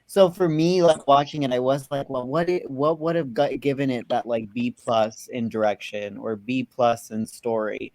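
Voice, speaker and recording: A man's voice: medium pitch, steady tone, normal speed, few pauses, darkish tone, no echo. A man in a casual film discussion, rambling and repeating words as he thinks aloud. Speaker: film review panelist.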